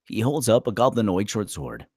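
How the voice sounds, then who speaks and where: A man's voice: on the low side, sing-song, moderate pace, a little mellow, slightly rough, dry recording, clean studio sound. A man reading screenplay stage directions aloud as a dramatic narrator at a table read.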